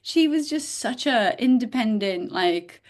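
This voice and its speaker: A woman from Bulgaria, a guest in a casual interview, talking in an animated way with frequent filler words and quick laughs. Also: fairly high pitch.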